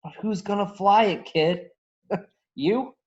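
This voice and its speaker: A man's voice: high-pitched, slow, darkish tone. A man playing a cocky, tough, roguish star pilot of about thirty in an online script reading. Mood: cocky bargaining. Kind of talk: script table read. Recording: dull, lo-fi online call.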